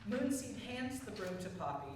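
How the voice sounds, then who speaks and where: A woman's voice: mid-range voice, very animated, moderate pace. A woman reading scripted stage directions as the narrator of a live play. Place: indoor theatre. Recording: distant mic in echoey, noisy theatre.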